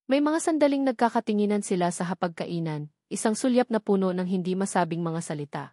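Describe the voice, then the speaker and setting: Female Filipino storyteller, mid-range pitch, scripted dramatic audiobook narration, very dry sound. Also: lively intonation, fairly quick, warm tone, no room sound.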